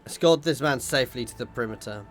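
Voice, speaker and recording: A man with a slightly hoarse voice reading the brisk, commanding lines of a noble male ship captain. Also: medium pitch, faint music behind, close to an everyday voice.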